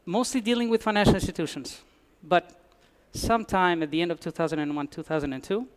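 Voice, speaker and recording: A man's voice: high-pitched, fast, clear, resonant tone. A man from Albania, giving a conference talk with dry humor and frequent restarts. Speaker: conference speaker. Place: conference hall.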